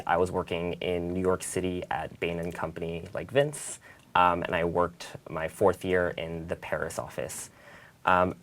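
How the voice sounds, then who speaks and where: A man in his twenties with an American accent, moderating a student question-and-answer webcast. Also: low voice. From northern New Jersey, United States. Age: 20s.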